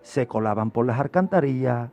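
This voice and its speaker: A man's voice: mid-range voice, expressive, normal speed, darkish tone, dull audio, soft background music. A middle-aged man from Spain with a Spanish accent, explaining like an expert on a radio talk, emphatically and step by step. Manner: didactic, emphatic, builds explanations with examples and short phrases. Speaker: criminologist guest expert.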